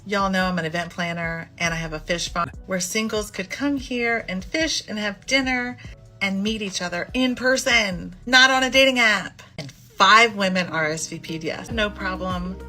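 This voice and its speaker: A woman's voice: mid-range voice, moderate pace, bright, forceful tone, soft background music. A woman speaking casually to her followers, as in a social media vlog. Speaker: event planner.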